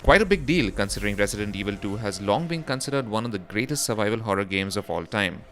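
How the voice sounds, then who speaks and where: A man's voice: lowish voice, lively intonation, fast talker, fairly bright tone, slight background noise. A man reading a scripted voice-over for a gaming news roundup, in the role of presenter. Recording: music behind the voice. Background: background music.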